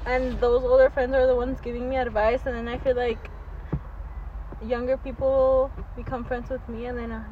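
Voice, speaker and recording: A woman's voice: a little high, little pitch movement, normal speed, a little hiss or hum. A nineteen-year-old woman chatting casually with a friend, informal and giggly. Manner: informal, giggly, short interjections. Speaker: co-host, the host's friend. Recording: dull lo-fi, busy background chatter.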